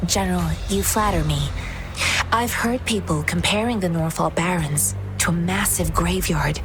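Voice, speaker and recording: An actress voicing a calm, devout young female martial disciple who talks in a soft-spoken, reflective way in a game cutscene. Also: fairly low pitch, unhurried, natural voice. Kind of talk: voiced video game cutscene. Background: cinematic music.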